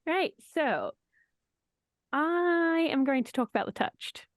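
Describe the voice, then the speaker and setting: A woman's voice: high-pitched, animated, takes it slowly, dry recording, studio quality. A woman chatting informally and enthusiastically about audio drama projects, with a giggly manner. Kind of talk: casual behind-the-scenes chat.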